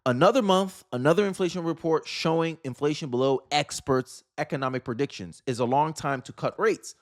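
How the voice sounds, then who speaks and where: High voiced guy doing opinionated commentary, incredulous, repeats himself to make the point. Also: a little fast. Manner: incredulous, rhetorical, repeats phrases for emphasis. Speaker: political commentator, host.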